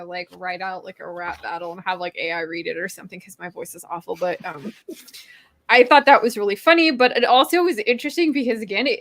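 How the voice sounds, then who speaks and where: A woman's voice: medium pitch, fairly bright tone. A woman with a mid-range voice hosts a casual book discussion. She talks informally, with loose, filler-heavy phrasing. The sound is dry, with almost no room echo. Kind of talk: casual chat retelling and discussing a story.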